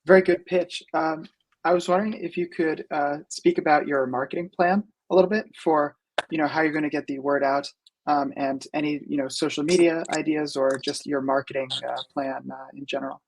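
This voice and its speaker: A man's voice: fairly high pitch, fairly even intonation, fairly quick, low-quality recording. A man judging a hackathon who asks rambling, friendly questions on a video call. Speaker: hackathon judge.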